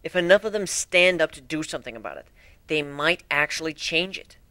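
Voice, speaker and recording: A man's voice: fairly high pitch, lively intonation, fairly quick, pushed and bright, smooth, dry recording. A man giving an amateur dramatic reading of a fan fiction story in a theatrical manner. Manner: theatrical, acts out dialogue.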